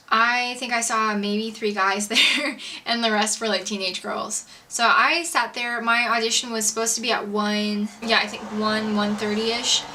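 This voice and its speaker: A woman's voice: medium pitch, bright, forceful tone. A young American woman telling a personal story casually to camera, chatty and informal, like a vlogger. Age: young adult. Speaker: vlogger and singer-songwriter. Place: indoors, makeshift home filming setup. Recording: distant mic, roomy home video, background noise.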